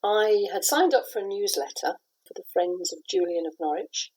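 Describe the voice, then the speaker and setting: A middle-aged woman from the west of Scotland, a playwright answering interview questions in a thoughtful, measured way, pausing and restarting as she talks. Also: medium pitch, lively intonation, darkish tone, slightly rough, rough recording.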